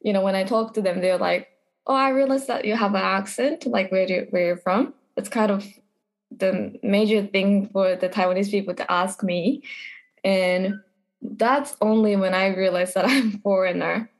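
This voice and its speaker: A woman's voice: mid-range voice, normal speed. A young adult woman from Japan, an international university student, answering interview questions in a reflective, searching way.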